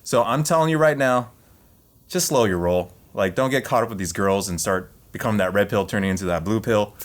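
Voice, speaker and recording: A man with a lowish voice whose first language was Vietnamese co-hosts a casual reaction podcast. He talks in an insistent, lecturing way, pressing his advice on the listener. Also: fairly quick. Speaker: podcast co-host.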